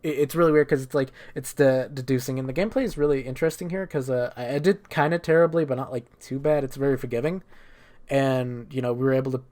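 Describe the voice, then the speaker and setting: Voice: medium pitch, fast, darkish tone. A speaker giving a casual, improvised story recap as a gaming streamer, rambling and irreverent with plenty of swearing.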